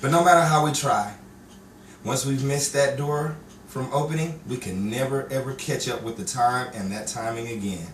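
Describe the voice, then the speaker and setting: A man's voice: mid-range voice, moderate pace, a little hiss or hum. A man announcing a vintage-style radio show in a scripted introduction. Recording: roomy, distant live stage recording, crowd.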